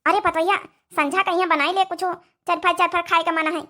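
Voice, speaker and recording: A woman's voice: very high voice, steady tone, normal speed, sharp tone. A woman voicing an eager older village mother-in-law in a cartoon comedy, chatty and fussing, with an Awadhi accent. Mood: coaxing. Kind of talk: Hindi-Awadhi cartoon family comedy dialogue.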